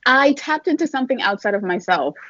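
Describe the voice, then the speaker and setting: A woman's voice: high-pitched, expressive, fairly quick, pushed and bright, dry recording, lo-fi sound. A woman from Trinidad, a guest video coach, speaking candidly and laughing between thoughts. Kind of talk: casual interview chat.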